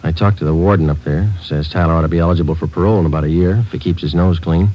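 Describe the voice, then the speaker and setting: An actor playing a terse police detective sergeant, speaking in a clipped, flat, matter-of-fact way in a 1950s radio drama. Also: male voice, low-pitched, very fast, dull audio, lightly acted. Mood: businesslike. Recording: old radio drama with music.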